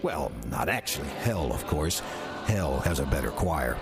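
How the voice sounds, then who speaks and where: An actor playing a sly, ancient demon posing as an old church usher, wry and sardonic, with an American accent, in an audio drama. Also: male voice, very deep voice, sing-song, music in the background, full character voice. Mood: sardonic musing. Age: older. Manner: wry, sardonic, deliberate storytelling. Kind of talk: audio drama first-person narration.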